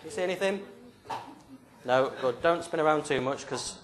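A man's voice: on the high side, normal speed, a crowd in the background. A young man leading a youth group in a small church hall, speaking into a handheld mic in a playful, joking, game-show manner. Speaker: youth leader. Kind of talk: lively talk to a youth group. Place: small church hall with stage and drum kit.

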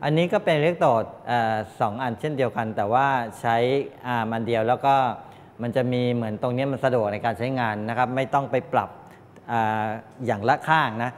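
Older man lecturing in a reverberant room. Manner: halting, with frequent repeated polite particles. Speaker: technical college lecturer. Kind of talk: classroom lecture, explaining from slides. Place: medium-sized indoor classroom with students. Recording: reverberant room, clear background noise, low rumble.

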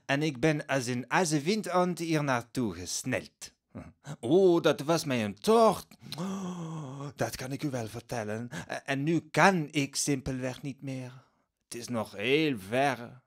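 Flamboyant vain gentleman, animated swooping intonation, slow drawn-out delivery, theatrical, effusive, radio play. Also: mid-range voice. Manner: theatrical, effusive, gushing with exclamations. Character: flamboyant, frivolous, vain travelling gentleman sprinkling his talk with French. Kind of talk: radio drama acting.

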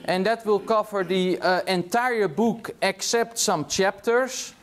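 High-voiced Dutch lecturer, chatty. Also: male voice, takes it slowly, a bit bright. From Netherlands. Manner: casual, chatty, tags remarks with "yeah?". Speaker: university lecturer. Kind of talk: classroom lecture. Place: large lecture hall.

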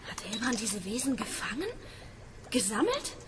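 High female voice, excitable student, nervous, awestruck, swooping intonation, noisy ambience. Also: moderate pace. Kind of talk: German radio play acting.

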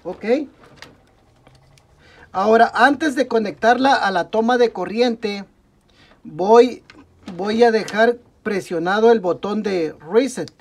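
A young man with a Mexican Spanish accent, walking through a computer repair step by step at his workbench, often pausing to correct himself. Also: sits high. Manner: talks through each step while working, frequent "ok, amigos" and self-corrections. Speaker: tech tutorial host. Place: indoor workbench at a desk.

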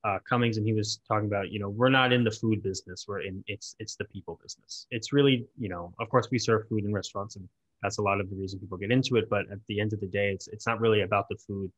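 A man's voice: lowish voice, fairly even intonation, no room sound, poor audio. A man hosting a restaurant industry podcast, asking long, loosely worded questions with lots of fillers. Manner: quick, with many fillers, asks long questions. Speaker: podcast host. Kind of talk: casual interview chat.

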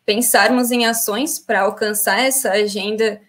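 A woman's voice: medium pitch, fairly even intonation, unhurried, a bit bright. A young woman with an ordinary mid-range voice hosts the end of an online panel. She speaks fluently into a laptop webcam, thanking guests and viewers in order. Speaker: event moderator. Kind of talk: closing thanks as moderator on a video call.

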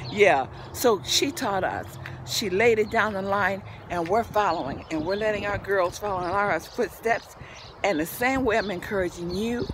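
A woman's voice: mid-range voice, slight background noise. An older woman who says she is losing her voice gives an upbeat, preachy tour of her backyard garden, filmed outdoors on a handheld phone. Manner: lively, preachy encouragement with church phrases. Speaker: vlogger. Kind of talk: casual home video tour.

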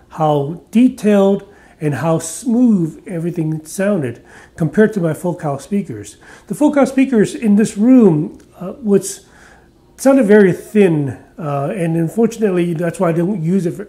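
Chatty man in an echoey living room. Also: a little high. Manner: relaxed, chatty, with frequent uh fillers and restarts. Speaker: audio reviewer. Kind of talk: casual product review.

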